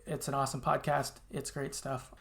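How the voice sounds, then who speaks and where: A man's voice: medium pitch, level tone, normal speed, vocal fry, a bit bright. A man hosting a podcast in a casual, rambling and agreeable chat style with some hesitation. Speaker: podcast host.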